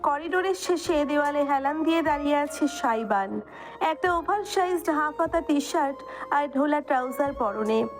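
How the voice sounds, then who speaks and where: A woman's voice: high-pitched, lively intonation, normal speed, soft background music. A woman reading scripted dramatic narration as the storyteller of an audio story.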